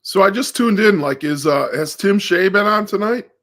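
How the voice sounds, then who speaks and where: A man with a deep voice on a casual sports podcast over a video call, relaxed and curious, asking plenty of questions. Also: normal speed. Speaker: podcast co-host.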